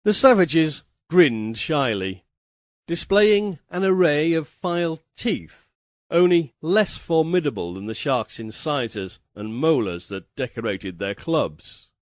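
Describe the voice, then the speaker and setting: A man narrating a literary first-person story as an audiobook reader, with a measured, theatrical, formal delivery. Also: a little high, big pitch swings, dry recording, studio quality.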